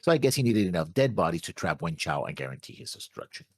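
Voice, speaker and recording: Man, deep voice, casual co-host discussion, hesitant, trailing off, dry close recording. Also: very animated, fast, no echo. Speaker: reaction show co-host. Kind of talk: casual discussion of an anime episode.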